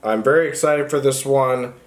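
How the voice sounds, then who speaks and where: A man's voice: medium pitch, moderate pace. A man talking casually and informally to viewers as the host of a book review vlog.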